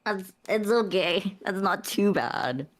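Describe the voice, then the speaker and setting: A woman's voice: medium pitch, lively intonation, slow. A woman chatting casually as a tabletop game player, with a wry, easygoing manner.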